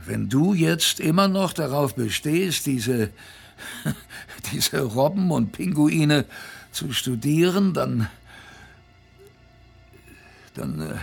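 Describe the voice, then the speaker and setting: Old seafaring grandfather, radio drama. Husky, slow, reflective storyteller. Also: mid-range voice, heavily acted. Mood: halting, tender, wistful. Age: older. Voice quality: gravelly, husky. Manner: halting, reflective, emphatic. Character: old former polar seaman, proud reflective grandfather. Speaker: narrator in an audio drama.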